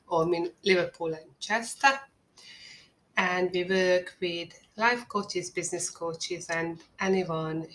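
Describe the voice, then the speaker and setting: A woman with a Hungarian accent, an agency owner giving a scripted webinar presentation in an earnest, measured, salesy way. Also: deep voice, a bit bright, rough recording.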